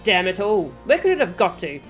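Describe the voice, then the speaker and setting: A woman's voice: medium pitch, sing-song, brisk, soft background music, lightly acted. A woman voicing a flustered, blustery male resistance fighter in a game, agitated and emphatic. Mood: flustered, frustrated. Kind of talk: reading game dialogue in character.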